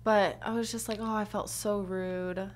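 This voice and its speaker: Blunt, animated woman co-host. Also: a little slow. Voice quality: low for a woman, assertive. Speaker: podcast co-host. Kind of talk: casual chat.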